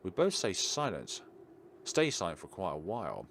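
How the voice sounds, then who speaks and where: A man reading a visual novel aloud as a let's player, in an expressive narrating style. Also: sits low, moderate pace, a little hiss or hum. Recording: game music behind the voice.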